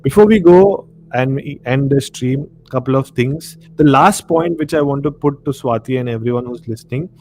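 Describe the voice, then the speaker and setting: A man with an Indian accent hosting a casual live discussion, explaining in a halting way and checking that he is understood. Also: mid-range voice, darkish tone, a little hiss or hum, poor audio. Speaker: live stream host. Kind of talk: casual discussion of horror films and the supernatural.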